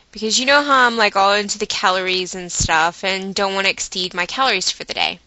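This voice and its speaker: A woman vlogger telling a personal story to her viewers in a chatty, animated way, with dramatic emphasis and acted-out asides. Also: medium pitch, no room sound, poor audio. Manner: chatty, dramatic emphasis, acting out her own inner dialogue.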